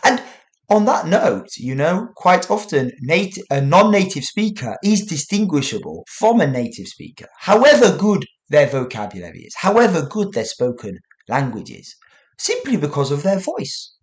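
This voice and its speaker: A man's voice: on the high side, big pitch swings, moderate pace. Chatty male English-teaching podcast host. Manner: chatty, many "you know" asides. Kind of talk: solo educational podcast talk.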